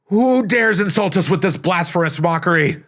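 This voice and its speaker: An outraged, robust prince acted out by a male storybook reader declaims his orders in a raised pitch. He is hoarse, loud and imperious, every command delivered with bold, clear force. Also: animated, normal speed. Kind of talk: gothic storybook reading.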